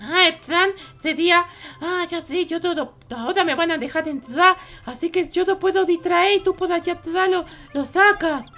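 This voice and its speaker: A woman voicing an excitable, bossy baby adventurer in a game roleplay, stammering and repeating words. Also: extremely high pitch, normal speed, few pauses, heavily acted. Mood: scheming.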